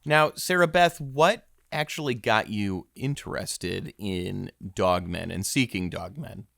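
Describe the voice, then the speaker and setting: A man hosting a mock-documentary comedy interview podcast in an earnest, measured and halting way. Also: fairly low pitch, creaky, fairly bright tone, no echo, studio quality. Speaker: podcast host.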